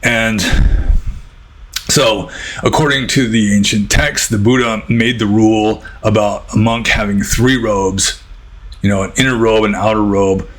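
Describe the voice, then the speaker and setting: A man with an American accent and a husky voice, talking informally and a little ramblingly as a Buddhist teacher answering questions. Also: on the low side, steady tone, measured pace, fairly bright tone, low-quality recording. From United States.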